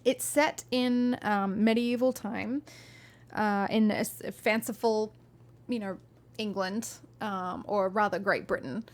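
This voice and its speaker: A woman's voice: medium pitch, fairly even intonation, takes it slowly. A woman streaming live, reading a fantasy novel aloud and chatting with viewers in a friendly, rambling way with frequent ums.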